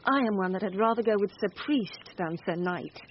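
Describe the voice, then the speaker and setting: An actress playing a timid, gentle young woman disguised as a gentleman, speaking politely and anxiously in a Shakespearean radio drama. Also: medium pitch, expressive, brisk, close to an everyday voice. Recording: muffled radio recording, faint noise.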